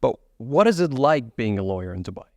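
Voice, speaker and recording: A man's voice: mid-range voice, very animated, brisk, darkish tone, no echo. A man hosting a podcast, asking his guest plain, direct questions. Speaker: podcast host.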